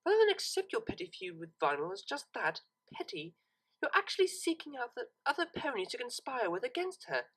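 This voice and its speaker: Animated-pony audiobook part: woman as refined young mare, high and bright, prim, articulate, lecturing. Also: a little fast, husky, full character voice, poor audio. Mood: stern, lecturing.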